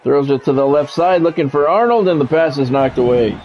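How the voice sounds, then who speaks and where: A man calling live play-by-play of a football video game in measured, short clipped announcer phrases. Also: fairly high pitch. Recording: dull lo-fi audio with music behind.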